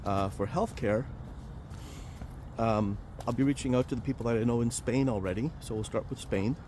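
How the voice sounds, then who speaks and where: Guy rambling thru his vlog on a walk outside, mellow voice, faint traffic hum behind. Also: mid-range voice, normal speed. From Romania. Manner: rambling, chatty, many fillers and restarts. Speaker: vlog host, financial planner. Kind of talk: casual vlog walking outdoors. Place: outdoors in a park near a highway.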